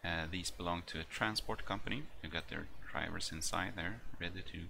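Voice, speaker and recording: Brief: man, deep voice, hesitant walkthrough, noisy home recording. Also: steady tone, gravelly, pushed and bright. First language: not English. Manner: hesitant, halting delivery full of ums. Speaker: hobbyist video maker. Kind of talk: casual walkthrough with many fillers.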